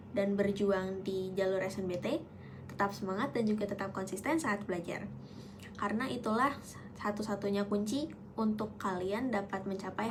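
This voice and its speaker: A young woman in her late teens giving a prepared student testimonial in formal, measured phrases. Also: mid-range voice. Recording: clear background noise.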